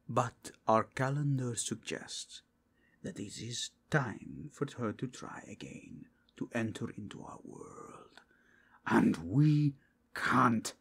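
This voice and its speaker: A man performs a werewolf cardinal of a fantasy inquisition in an ASMR audio drama. He is solemn and imperious, half-whispering in a breathy, gravelly voice. His words come slowly and formally, with deliberate weight. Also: mid-range voice, fairly bright tone, full character voice. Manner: formal, slow, deliberate rhetorical questioning.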